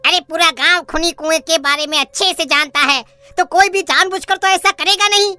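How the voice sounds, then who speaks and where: A woman voices a concerned villager in a horror cartoon. The voice is piercingly high and bright. She reasons emphatically with the others over faint music. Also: normal speed, no room sound.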